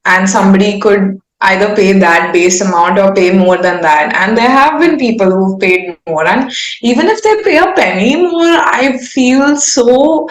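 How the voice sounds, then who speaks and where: A woman, talking candidly and effusively in a casual, informal interview style. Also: mid-range voice. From India. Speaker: singer-songwriter guest. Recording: roomy, echoing sound.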